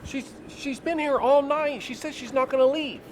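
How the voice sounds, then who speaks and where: A harried casino worker, played by an actress, with a high, pleading voice. Clear background noise surrounds her comic dialogue. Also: moderate pace, lightly acted. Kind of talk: scripted comedy acting. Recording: noisy room ambience.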